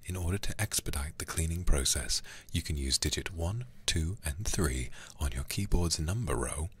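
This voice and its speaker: A man livestreaming a game in an intimate ASMR style, hushed and often whispering close to the microphone. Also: a bass voice, moderate pace, slightly rough. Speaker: livestream host playing a video game.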